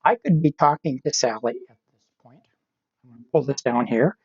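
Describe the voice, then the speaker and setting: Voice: medium pitch, expressive, fairly quick, a little mellow, slightly rough, dry recording, dull audio. A middle-aged instructor giving live online tech training step by step, often checking in with "right?" as they explain.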